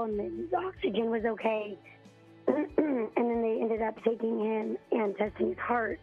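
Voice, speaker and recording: A hoarse mother in her thirties calling in to a radio show, telling her story haltingly with many ums and throat-clearing. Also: fairly high pitch. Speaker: parent on a radio call. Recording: tinny phone line.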